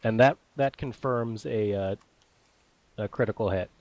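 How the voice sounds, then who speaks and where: A man's voice: on the low side, a little slow, darkish tone, no echo. Casual man running a tabletop game, dry close sound. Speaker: game master.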